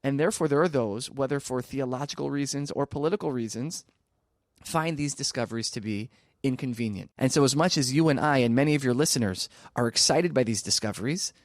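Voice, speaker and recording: A man speaking as an interview guest, arguing persuasively and emphatically, with rhetorical questions and deliberate pauses for stress. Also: medium pitch, no room sound, clean studio sound. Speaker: interview guest, archaeology advocate. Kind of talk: persuasive spoken argument in a radio interview.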